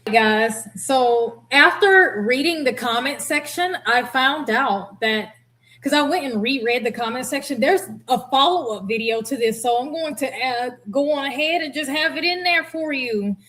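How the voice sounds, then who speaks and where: Female reaction host, higher voice, blunt and expressive, casual commentary. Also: moderate pace. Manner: blunt, expressive, laughs readily. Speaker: host, former air traffic controller.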